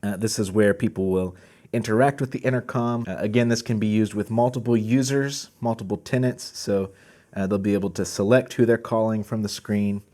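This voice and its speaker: Guy hosting a casual unboxing vid, lowish mellow relaxed voice. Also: brisk. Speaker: host of a product channel. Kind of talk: casual product unboxing presentation.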